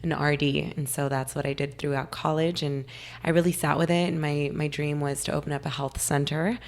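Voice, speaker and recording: Young woman from california, low flat gravelly voice, bit hoarse, chatty and eager. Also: brisk. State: a little hoarse. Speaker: guest nutritionist. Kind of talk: casual interview talk.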